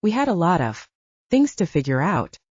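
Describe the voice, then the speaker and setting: A woman voicing a brooding supernatural man in an audiobook, soft-spoken, formal and restrained. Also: sing-song, normal speed, husky, dry recording, lo-fi sound. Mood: quietly menacing. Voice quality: soft, low. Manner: formal, grave, controlled. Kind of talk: audiobook character dialogue.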